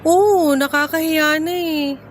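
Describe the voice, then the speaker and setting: Expressive young woman, higher-pitched voice. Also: slow pace, slight background noise. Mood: light, shy. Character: apologetic young woman, grateful but fiery when provoked. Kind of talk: radio drama acting, conversational. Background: music bed.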